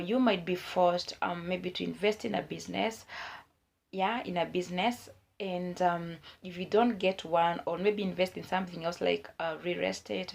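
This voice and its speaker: A young woman vlogger with a hoarse voice from a cold, giving earnest advice in a casual way, with many fillers. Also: mid-range voice. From Kenya. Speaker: YouTube vlogger.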